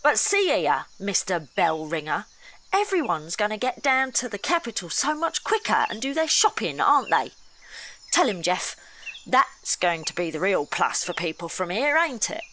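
A woman's voice: mid-range voice, sing-song, moderate pace, fairly bright tone, a little hiss or hum. A woman playing a brash, impatient young railway worker in an audio drama, blunt, pushy and colloquial.

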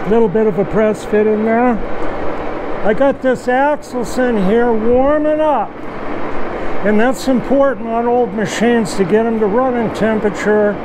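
Older man, very high pitch, plainspoken machinist, casual shop demonstration, clip-on mic, noisy machinery behind. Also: unhurried. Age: 60s or older. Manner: plainspoken, hands-on explaining while working. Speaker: machinist presenter. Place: small home machine shop, indoors. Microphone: furry lavalier clipped at chest. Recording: loud machinery noise behind voice. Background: running lathe racket.

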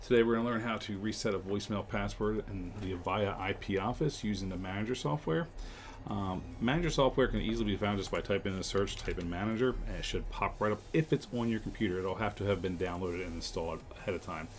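A man hosting a casual software tutorial, explaining informally step by step. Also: on the low side, fast talker. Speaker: tutorial host, telecom technician.

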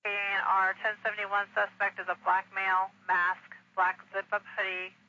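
A man's voice: high-pitched, monotone, normal speed. An American man working as a police dispatcher, speaking in terse, procedural phrases over a radio. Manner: clipped, procedural. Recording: muffled scanner radio, faint static.

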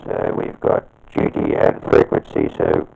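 An Australian man giving an informal, halting workbench demonstration as an electronics hobbyist. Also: highs cut off. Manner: halting, hesitant delivery. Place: indoor workbench.

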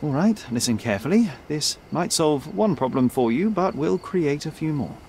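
An actor playing a young detective in a game, articulate, precise and self-assured. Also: male voice, fairly high pitch, lively intonation, moderate pace, a little mellow, a little hiss or hum. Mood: confident, probing. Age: young adult. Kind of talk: dramatic game cutscene dialogue. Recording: faint night ambience behind.

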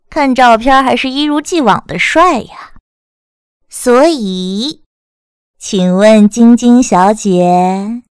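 A woman's voice: fairly high pitch, no echo, studio quality. A woman playing a bubbly, teasing, gossipy young best friend in an audiobook drama, chatty and full of exclamations.

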